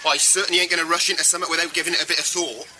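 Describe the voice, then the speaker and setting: An actor playing a level-headed, sceptical village man with a rural southern English accent in a radio play, dry and gently mocking. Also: a little high, level tone, very fast, background noise, close to an everyday voice. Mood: sceptical, firm. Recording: music behind the voice.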